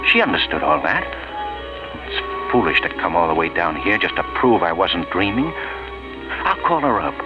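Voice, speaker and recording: A man's voice: low voice, very animated, moderate pace. An actor playing a haunted, self-pitying man who killed his lover, in brooding 1940s radio mystery style. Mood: brooding, self-justifying. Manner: brooding, agitated inner monologue. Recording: muffled vintage 1940s radio, music behind.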